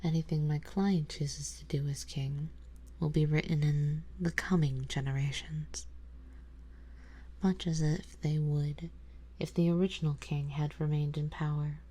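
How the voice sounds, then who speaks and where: A woman playing an ancient, wealthy female vampire in an ASMR roleplay, speaking softly and intimately in formal, measured words, composed and quietly possessive. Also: sits low, fairly even intonation. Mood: calm, reflective. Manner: slow, soft, intimate, breathy delivery drifting into whispers. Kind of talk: ASMR roleplay audio drama, addressing the listener.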